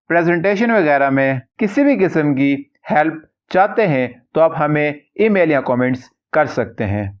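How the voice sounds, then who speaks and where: A law tutor with a Pakistani accent giving a scripted lesson, reading statute and explaining it in a deliberate, instructional way. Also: medium pitch. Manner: deliberate, instructional, reads English passages word by word. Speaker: law tutor, channel host. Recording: dull lo-fi muffled recording.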